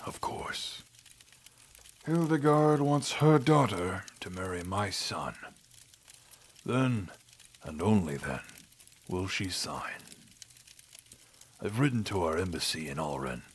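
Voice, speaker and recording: A man voicing an aging, weary, solemn king in an audio drama, husky and breathy, dropping to a half-whisper as phrases end. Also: deep voice, animated, exaggerated voice. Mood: solemn, resolute. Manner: slow, grave, deliberate. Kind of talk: audio drama character acting.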